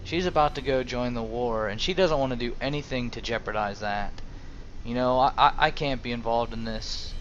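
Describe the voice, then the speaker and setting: A man's voice: medium pitch, moderate pace, a bit bright. A man role-playing and narrating a video game playthrough in a hesitant, musing, improvised way. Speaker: video game let's player. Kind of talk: role-play narration over gameplay. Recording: dull lo-fi mic, faint noise.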